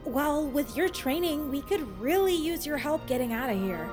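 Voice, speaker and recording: High, lively, bossy teenage girl. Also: moderate pace, soft background music. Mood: incredulous. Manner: sarcastic, exasperated, commanding. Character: bossy sarcastic teenage girl leading her friends. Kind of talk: audio drama comedy acting.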